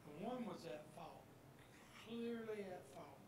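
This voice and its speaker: A man in a church class slowly telling a personal story. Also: high voice, lively intonation. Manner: slow, storytelling. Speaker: class member. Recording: echoey room, distant mic, noticeable noise.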